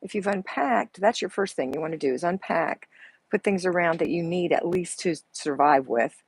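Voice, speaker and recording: A soft-spoken woman with a drawl chats through a live stream, reading comments and coaching viewers in a motherly way. Her voice sits a little low and carries a husky, breathy edge. She is picked up by a small earbud microphone. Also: lively intonation, normal speed, no echo. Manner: chatty, motherly, calls viewers honey and girls. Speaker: home organizing coach hosting a live chat. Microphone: earbuds with mic.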